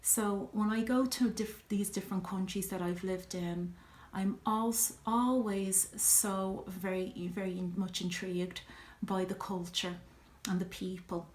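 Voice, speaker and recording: A middle-aged woman with an Irish accent, talking reflectively in a personal vlog, pausing often with ums. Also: medium pitch, steady tone, a little mellow, echoey room. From Ireland. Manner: reflective, earnest, many ums and you-knows. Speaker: vlogger.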